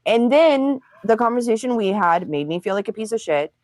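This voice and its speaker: A woman's voice: fairly high pitch, animated, fairly quick, darkish tone, no echo. A woman on a livestream talk show, speaking bluntly and pressing hard questions, with frequent swearing.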